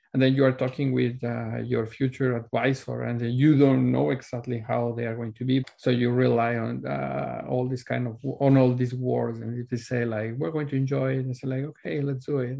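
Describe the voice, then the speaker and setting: A Mexican man, a native Spanish speaker, talks as an interview guest with a noticeable creak in his mid-pitched voice. His phrasing is informal and loose, as in a casual conversation about student life. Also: dark tone, muffled recording. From Mexico City, Mexico.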